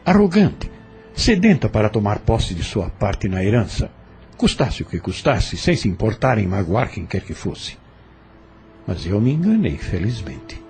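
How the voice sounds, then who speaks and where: An actor in an audio novel playing a courteous, reserved, educated farm overseer who speaks in a formal, measured, gallant way. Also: male voice, lowish voice, big pitch swings, darkish tone, faint music behind. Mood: sincere, reflective.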